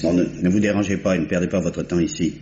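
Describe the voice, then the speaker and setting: A man voicing a sceptical male police inspector, curt and ironic, very deep voice, roomy echo, hiss behind, muffled vintage radio play. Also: fairly even intonation, quick pace, close to an everyday voice. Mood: dry. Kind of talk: 1950s radio drama acting.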